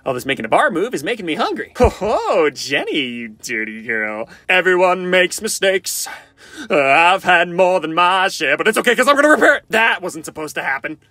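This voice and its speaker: A man's voice: high-pitched, very animated, moderate pace, bright, forceful tone, no echo, full character voice. A man voicing a cocky, flirty, goofy wisecracking survivor for fan-made video game lines, in a playful, theatrical, quippy manner. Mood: sheepish, teasing. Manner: playful, theatrical, quippy, often sing-song.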